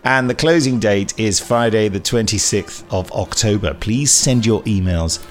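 A man's voice: lowish voice, unhurried. A man presenting a podcast in a chatty, jokey, self-mocking and digressive way. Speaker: podcast host.

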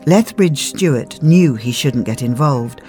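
Female audiobook narrator, mellow tone, animated pitch, scripted ghost-story reading, light music behind. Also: unhurried.

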